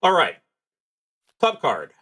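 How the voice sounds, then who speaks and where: A man narrating a solo tabletop game on a livestream, thinking aloud in a casual, halting way with pauses mid-sentence. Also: on the high side, huge pitch swings, pushed and bright, breathy edge. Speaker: solo tabletop roleplaying streamer.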